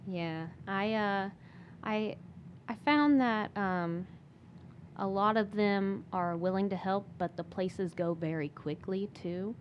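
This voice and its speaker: A woman, a guest on a podcast, talking casually and softly with many fillers and restarts. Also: medium pitch, slow pace, dull audio, slight background noise. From Michigan. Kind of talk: casual interview talk.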